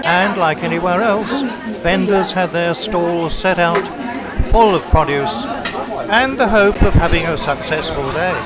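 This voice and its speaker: A man reading a scripted travelogue voice-over in a measured, descriptive storytelling way. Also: high-pitched, a loud crowd. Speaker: travelogue narrator. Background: background music.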